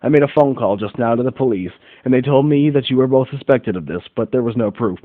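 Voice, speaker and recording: A man's voice: mid-range voice, fast talker, no room sound, narrow, tinny audio, natural voice. A man playing a confident, observant detective in an amateur radio play, with formal, instructive phrasing. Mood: accusing. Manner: formal, didactic, declarative phrasing. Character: confident, observant male detective in 1940s America.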